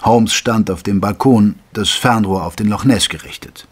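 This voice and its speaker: An actor playing an indignant, curious Victorian gentleman who narrates an audio drama in measured literary German prose. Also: fairly low pitch, natural voice. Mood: measured narrating.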